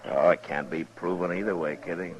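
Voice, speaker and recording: An actor playing a calm, firm, laconic frontier marshal in a 1950s Western radio drama. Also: male voice, deep voice, crowd noise, close to an everyday voice. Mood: easygoing. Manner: laconic, measured, authoritative. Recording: dull vintage radio recording.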